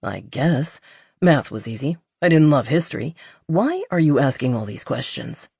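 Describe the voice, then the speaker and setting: Woman doing a gruff, terse man, low-voiced. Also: normal speed, no echo, clean studio sound, exaggerated voice. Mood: wary, guarded. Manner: terse, muttering, deadpan. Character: gruff, guarded, protective man in a romance.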